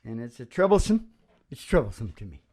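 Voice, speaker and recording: A man's voice: medium pitch, big pitch swings, fairly quick, darkish tone, breathy edge. An older man chatting casually as a musician hosting a show, rambling and joking in a folksy way and laughing often. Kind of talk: casual chat and storytelling between songs. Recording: live acoustic guitar playing alongside. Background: acoustic guitar.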